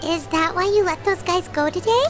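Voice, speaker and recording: A woman's voice: extremely high pitch, moderate pace. A woman voicing a tiny, cheerful, childlike fairy companion in a video game, with cutesy third-person speech. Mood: curious. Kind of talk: video game character acting. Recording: loud game music behind.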